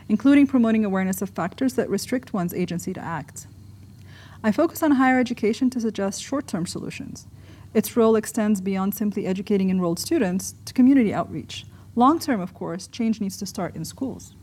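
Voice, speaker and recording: A woman's voice: medium pitch, mellow, breathy edge. A woman from Egypt who works as an academic, reading her own scripted essay as narration.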